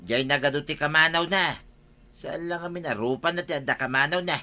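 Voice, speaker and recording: Bright, high Ilocano village gossip. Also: male voice, brisk. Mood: chatty. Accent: Ilocano, northern Philippines. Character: gossipy village man explaining comic nicknames. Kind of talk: radio comedy drama acting.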